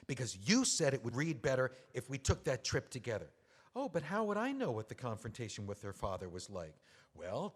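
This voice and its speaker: An actor playing a betrayed widower in an audio drama, mocking and seething with anger. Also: male voice, medium pitch, husky. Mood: bitter sarcastic. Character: bitter betrayed widower, man. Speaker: widower writing a memoir. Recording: long hall reverb.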